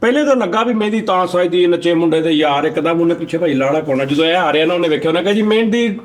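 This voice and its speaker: A man's voice: a little high, lively intonation, rapid-fire. A man with a Pakistani Punjabi accent bantering casually as host of a comedy show.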